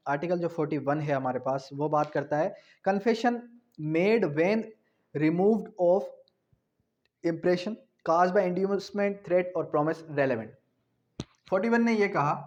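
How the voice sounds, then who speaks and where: High-voiced Pakistani man lecturing, dull audio. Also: a little mellow. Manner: didactic, asks questions and answers them himself. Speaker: law lecturer. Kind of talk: law lecture explaining articles clause by clause.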